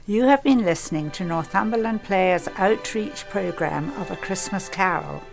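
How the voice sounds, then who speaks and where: A woman's voice: medium pitch, normal speed, creaky, over music, rough recording. A woman reading a scripted closing announcement as the credits announcer of a radio play.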